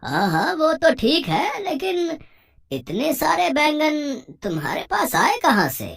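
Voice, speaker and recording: A woman's voice: high voice, wildly swooping pitch, slow, creaky, exaggerated voice. A woman voicing a prying village man, the farmer's friend, in a moral story, exclaiming as he speaks. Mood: nosy, surprised. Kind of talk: moral story cartoon dialogue.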